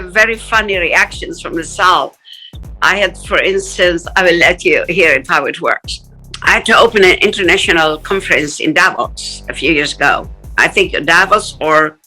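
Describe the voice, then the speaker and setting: A middle-aged woman from Palestine telling stories in an interview, animated and often laughing. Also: mid-range voice, poor audio. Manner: animated, acts out quoted voices, laughs often. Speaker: interview guest. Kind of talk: casual storytelling in an interview.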